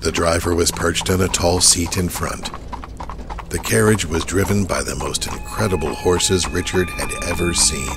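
Male narrator for horror drama: very low, hugely animated, dramatic storytelling over music. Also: gravelly, very noisy. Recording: music underneath.

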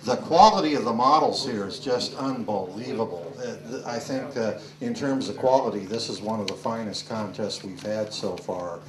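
A man's voice: on the low side, moderate pace, hardly any pauses, a crowd in the background. A man giving an informal, loose welcome speech to an audience as host of an event. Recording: distant microphone in a room.

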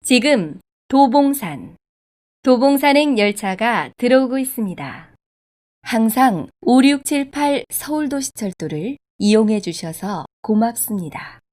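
Formal woman making subway announcements, higher-pitched. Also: very animated, a little mellow. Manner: formal, polite, measured. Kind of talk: scripted public transit announcement.

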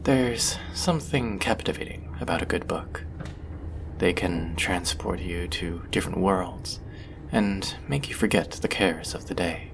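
Guy acting as gentle enchanting male stranger, asmr hypnosis roleplay, creaky unhurried soft voice that gets whispery. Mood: friendly, charming. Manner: soft, soothing, often drifting into breathy whispers. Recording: loud train rumble behind the voice. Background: train ambience sound effects.

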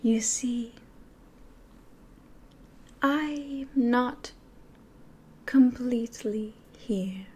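A woman's voice: fairly high pitch, very slow, pauses often, a little mellow, breathy edge, heavily acted. A young woman playing a sly, grandiose demon ruler in an ASMR roleplay, mostly whispering theatrically close to the microphone. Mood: grandiose, scheming. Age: 20s.

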